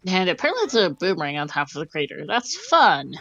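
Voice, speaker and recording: A woman's voice: lowish voice, big pitch swings, fast talker, fairly bright tone, highs cut off. Chatty woman commentating a game run. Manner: chatty, laughs often, reads out clues. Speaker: tournament commentator. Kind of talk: casual live game commentary.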